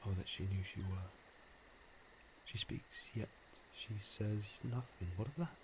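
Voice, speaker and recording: A yearning young lover, played by a man in a Shakespeare radio play. His voice is slightly low as he speaks the verse, with faint noise on a home phone recording. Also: unhurried. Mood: rapt, yearning. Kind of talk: amateur Shakespeare audio drama.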